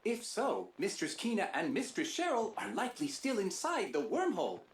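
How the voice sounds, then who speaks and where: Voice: mid-range voice, very animated, moderate pace. An actor voicing a polite, formal, slightly fretful robot comrade in a video game, with precise, wordy phrasing. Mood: earnest, worried. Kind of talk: scripted video game voice acting. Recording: roomy echo, faint background noise.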